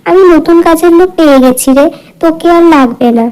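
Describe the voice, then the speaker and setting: A woman's voice: very high voice, brisk, a little mellow, low-quality recording. A woman playing a haughty, spiteful village woman in a cartoon, with a dismissive, scolding manner. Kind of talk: Bengali moral-tale cartoon dubbing.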